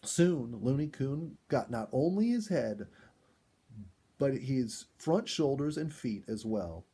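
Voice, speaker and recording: A man reading a children's story aloud as an expressive storyteller in scripted narration. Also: medium pitch, takes it slowly, slightly rough.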